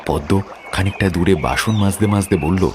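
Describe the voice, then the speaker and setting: A man's voice: deep voice, moderate pace, noisy background. A man narrating a scripted horror story.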